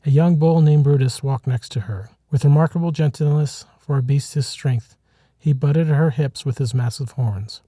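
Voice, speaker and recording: A man narrates a short story in a measured, dramatic way. His voice is mellow and a little high for a man, recorded close and dry. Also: dry recording. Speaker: author reading his own short story.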